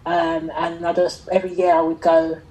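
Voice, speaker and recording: A middle-aged woman with a slightly low, very mellow voice. She answers interview questions conversationally, thinking aloud and reshaping her sentences as she tells her story. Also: level tone, normal speed, low-quality recording. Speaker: interviewee, fashion entrepreneur.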